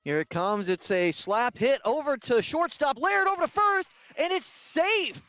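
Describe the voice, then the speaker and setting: A man doing live radio play-by-play of a softball game, describing each pitch in detail. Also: expressive, a little slow, dry recording.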